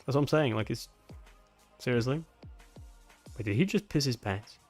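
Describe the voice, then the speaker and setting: A man's voice: mid-range voice, moderate pace, soft background music. A man doing a live reaction read-along, with crude, jokey asides and plenty of swearing. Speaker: reaction video host reading comics aloud. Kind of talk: live reaction and read-along, acting out dialogue between comments.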